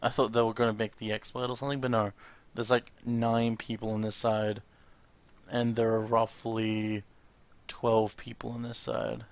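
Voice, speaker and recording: A man's voice: on the low side, level tone, normal speed. A man giving casual, off-the-cuff reactions as he plays through and reads out game cutscenes. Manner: profane, casual exclamations. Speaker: let's play host. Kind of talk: casual game reaction commentary, reading cutscene dialogue aloud.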